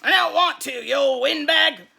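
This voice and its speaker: Storybook brat played by a woman: hateful little boy creature, harsh forceful screech, hurls insults loudly. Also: high voice, normal speed, creaky, heavily acted. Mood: defiant, insulting. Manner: insulting, shouting in bursts. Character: rude, screechy, hateful smelly creature-boy under raincoats.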